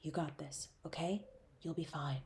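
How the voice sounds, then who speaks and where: A woman's voice: low voice, steady tone, normal speed, pitched below the performer's own voice. A woman playing a selfless, protective superhero in an intimate audio roleplay, speaking in an earnest, urgent way. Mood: tender urgency. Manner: hushed, earnest, reassuring.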